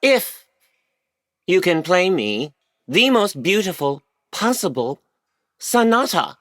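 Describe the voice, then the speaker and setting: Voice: mid-range voice, big pitch swings, slow pace, fairly bright tone, dry recording, clean studio sound. An actor playing a menacing, haughty party official in an audio play, with a pompous, theatrical and mocking delivery. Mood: imperious.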